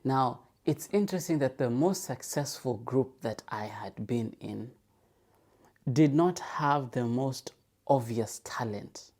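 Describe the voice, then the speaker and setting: A man's voice: mid-range voice, expressive, takes it slowly, a little mellow. A man in a casual, reflective solo podcast monologue, thinking aloud, repeating phrases for emphasis and asking rhetorical questions. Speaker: podcast host.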